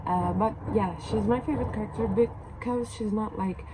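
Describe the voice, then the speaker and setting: A woman's voice: mid-range voice, moderate pace. A young woman from Turkey with a Turkish accent, chatting hesitantly to camera as a book vlogger, with many fillers and restarts. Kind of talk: casual chat to camera. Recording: noisy background.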